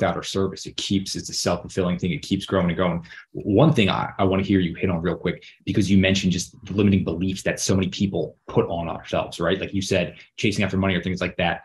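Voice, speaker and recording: Very deep-voiced podcast host. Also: male voice, a little hiss or hum. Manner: affirming, reflective questioner. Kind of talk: casual interview questions.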